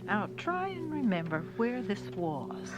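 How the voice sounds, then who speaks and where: Female, anxious, fussy mother, very animated pitch, 1980s radio play, loud music underneath. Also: measured pace. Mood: fussy, insistent. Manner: concerned, questioning. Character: worried, fussy mother of a grown man. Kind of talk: 1980s radio drama acting.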